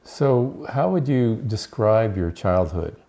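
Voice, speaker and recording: A man's voice: on the low side, expressive, takes it slowly, warm tone. A man hosting a community television interview, asking simple questions and giving brief encouraging backchannels. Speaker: host.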